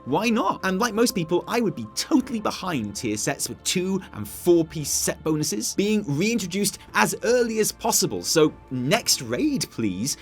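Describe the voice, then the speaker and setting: A man's voice: sits high, very animated, unhurried, few pauses, soft background music. A man co-hosting a scripted gaming news show, wry and playful, questioning himself as he goes. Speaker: co-host.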